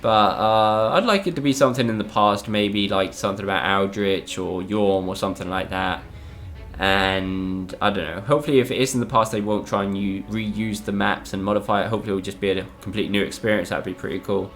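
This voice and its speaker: A young man from Spain, a gaming YouTuber, reads out viewer questions and answers them in a relaxed, hesitant way, with lots of ums and "I don't know". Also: fairly low pitch, brisk.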